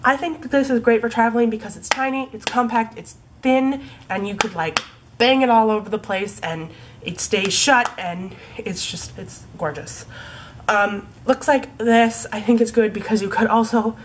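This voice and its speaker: A woman's voice: fairly high pitch, normal speed, slight background noise. A young woman with a stuffy, congested voice from a cold, chatting casually about her favorite products, full of likes and ums. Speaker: beauty vlogger. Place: home room in fading daylight.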